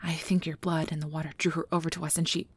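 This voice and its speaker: A woman playing a nervous, caring young magic researcher in an ASMR audio drama, rambling in a flustered, halting, apologetic way. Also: low-pitched, level tone, slightly rough. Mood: explaining, flustered.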